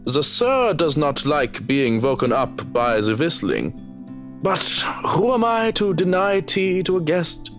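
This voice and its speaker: A man's voice: on the high side, very animated, takes it slowly, faint music behind, pitched above the performer's own voice, full character voice. A man voicing a curt, dry butler.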